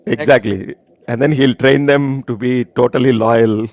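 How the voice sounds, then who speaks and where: A man's voice: mid-range voice, steady tone, a little slow, darkish tone, dry recording, highs cut off. A man from India hosting an online reading group, chatting casually, laughing often and hesitating with many fillers.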